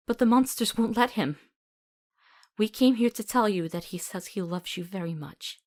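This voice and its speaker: Actress as compassionate young healer, visual novel drama; mid-range voice, gentle, reassuring, soothing delivery. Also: female voice, medium pitch, natural voice. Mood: tender, wistful. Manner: tender, soothing, coaxing. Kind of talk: visual novel voice acting, storytelling tone.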